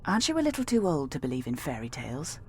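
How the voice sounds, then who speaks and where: A woman's voice: low voice, wildly swooping pitch, quick pace, a little mellow, slight background noise. An actress playing a stern, sardonic female assassin in a video game, with a dry, threatening delivery.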